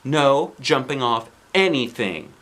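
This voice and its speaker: A man's voice: mid-range voice, big pitch swings, takes it slowly, a bit bright, heavily acted. A man voicing a bossy, sensible young schoolgirl from a children's storybook in a matter-of-fact, insistent way. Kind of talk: children's storybook character reading.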